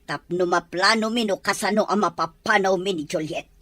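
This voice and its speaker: A stern, scheming mother-in-law from an audio drama, played by a woman. She speaks in a measured, mid-pitched voice. Her tone is cold and calculating. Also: a bit bright, no echo, natural voice. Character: scheming older mother-in-law plotting against daughter-in-law. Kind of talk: Ilocano radio-style drama.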